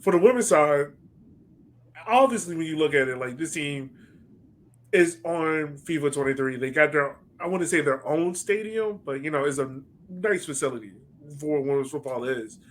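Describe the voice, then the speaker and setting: A man's voice: on the high side, animated, moderate pace, a bit bright. A man hosting a podcast, asking questions in a conversational sports interview style. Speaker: podcast host.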